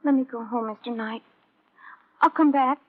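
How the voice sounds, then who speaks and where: A woman's voice: on the high side, normal speed, breathy edge. An actress playing a desperate, frightened young woman who pleads, in an old-time radio drama. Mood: frightened, pleading. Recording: tinny vintage radio recording.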